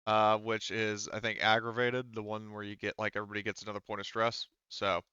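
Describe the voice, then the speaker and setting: Casual tabletop game master, male, smooth low tone, halting explanations, muffled lo-fi sound. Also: flat delivery, sharp tone, dry recording. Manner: halting, thinking aloud while explaining rules.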